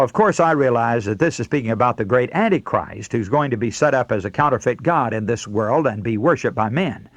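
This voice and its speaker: American radio preacher with animated, swooping delivery. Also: male voice, mid-range voice, no echo. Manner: earnest, emphatic, didactic delivery. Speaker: radio preacher and broadcast host. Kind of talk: radio sermon with scripture readings.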